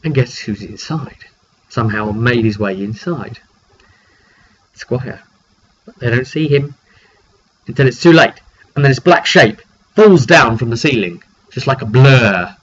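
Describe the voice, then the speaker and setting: A man giving an informal, dramatic recap of a tabletop roleplaying session as game master, telling it like an animated story. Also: mid-range voice, a little slow, long pauses, slightly rough. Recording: dull lo-fi mic with background noise.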